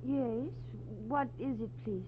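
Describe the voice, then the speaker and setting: An actress playing a theatrical, pleading femme fatale in 1940s radio melodrama style. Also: female voice, on the high side, slow. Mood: wary. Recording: muffled, noisy old-time radio recording with hum.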